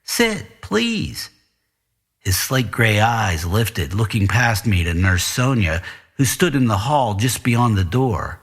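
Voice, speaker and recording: A man narrates scripted noir fiction for a podcast. His voice is husky and medium in pitch, with slow pacing and very animated intonation. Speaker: author reading own fiction on a podcast.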